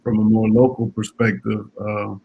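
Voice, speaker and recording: A man's voice: fairly low pitch, level tone, moderate pace, creaky, phone-quality sound, faint music behind. A man hosting an interview, asking questions thoughtfully with many filler words. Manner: thoughtful, many ums and short affirmations. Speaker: interview host. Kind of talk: casual interview conversation.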